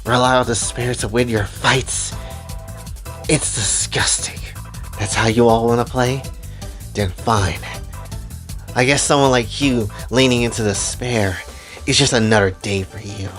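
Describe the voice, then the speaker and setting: A man's voice: medium pitch, steady tone, unhurried, pauses often, a bit bright, voice pushed down, lightly acted. A man voicing a menacing, bitter female fighter in a story, scornful and threatening. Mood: contemptuous. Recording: music behind the voice.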